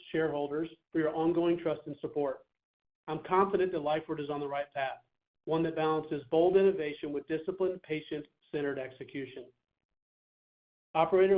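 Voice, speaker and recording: A man speaking as chief executive, giving formal, measured scripted remarks on an earnings call. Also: fairly high pitch, steady tone. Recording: thin phone-line conference audio.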